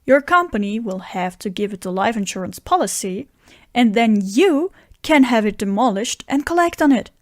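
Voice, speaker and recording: A woman plays an earnest friend with a scheme, narrating an audio drama. The voice is fairly high and recorded close and dry, without room sound. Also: expressive, normal speed, no room sound, natural voice. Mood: excited scheming. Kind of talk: audio drama dialogue and first-person narration.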